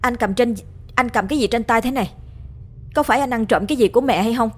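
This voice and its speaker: A woman's voice: medium pitch, big pitch swings, fast talker, a little mellow. A woman voicing a distraught young woman, defiant and frantic. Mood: accusing, shrill.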